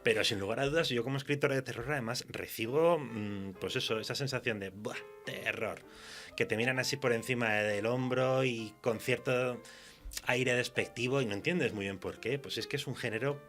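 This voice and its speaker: Male radio guest, Castilian accent, colloquial and halting delivery, faint music bed. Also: medium pitch, a bit bright. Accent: Castilian Spanish. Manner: colloquial, halting, searching for words. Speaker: horror fiction writer. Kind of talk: casual conversation.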